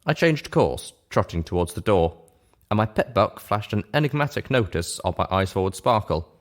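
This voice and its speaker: Story reader: man with a low voice, narrating in first person. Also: expressive, moderate pace. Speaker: audiobook-style reader.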